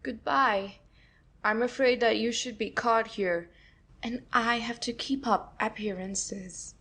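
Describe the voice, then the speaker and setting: A woman's voice: a little high, takes it slowly, a bit bright, breathy edge, full character voice. An actress playing a secretive young wife speaking to her lover in a classic comedy audio play. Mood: anxious, hushed.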